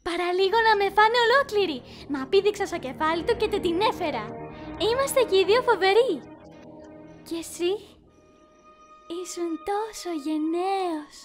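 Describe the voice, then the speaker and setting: A very high, childlike cartoon lion cub girl, playful and bold. She talks in an excitable, expressive way, as in a fan-dubbed animated film, with music playing underneath. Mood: friendly.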